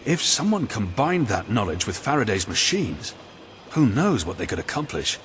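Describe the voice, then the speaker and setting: A man's voice: fairly low pitch, sing-song, normal speed, a bit bright, slight background noise. A man voicing a wary, scornful officer in a video game, speaking articulately and with dry sarcasm. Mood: dry, observant. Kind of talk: scripted video game dialogue. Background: music.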